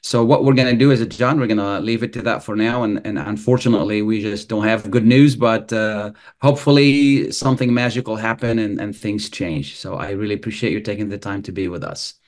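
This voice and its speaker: A man's voice: fairly low pitch, fairly even intonation, a little fast, hardly any pauses, darkish tone. A middle-aged man hosting a radio interview show, talking conversationally with frequent restarts, on a webcam. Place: studio with video backdrop.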